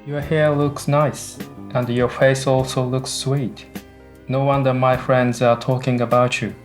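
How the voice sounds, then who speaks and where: A man playing a gentle, hesitant Japanese soldier in a radio drama. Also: medium pitch, flat delivery, unhurried, soft background music, close to an everyday voice. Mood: admiring. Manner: hesitant, polite.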